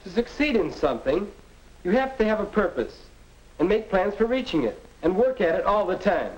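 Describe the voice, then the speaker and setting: Earnest american high school kid giving advice, highish voice, muffled old 50s film. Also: male voice, big pitch swings, moderate pace, a little mellow. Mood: earnest, probing. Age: young adult. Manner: preachy, earnest. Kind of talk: 1950 instructional film acting. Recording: dull 1950 film soundtrack.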